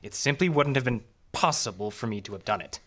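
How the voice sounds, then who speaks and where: A man's voice: mid-range voice, animated, fairly quick, fairly bright tone. A man playing an indignant, jealous murder suspect in a radio drama, defensive and melodramatic. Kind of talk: old-time detective radio drama acting.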